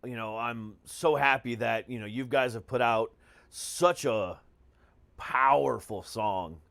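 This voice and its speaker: A man's voice: mid-range voice, slow, gravelly, fairly bright tone, no room sound. A man hosting a podcast in casual conversation, talking in an emphatic, slangy way with frequent swearing. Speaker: podcast host. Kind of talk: casual chat.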